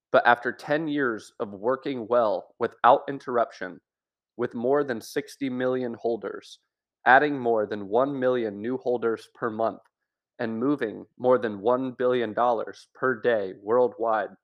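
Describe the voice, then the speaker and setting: Man reading an essay aloud. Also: mid-range voice. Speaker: article narrator. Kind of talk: scripted narration of an essay.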